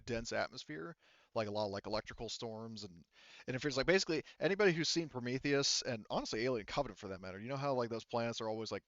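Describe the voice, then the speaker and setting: A man's voice: medium pitch, a bit bright, dry recording, highs cut off. A man casually narrating a tabletop game as game master, explaining things in a rambling, informal way.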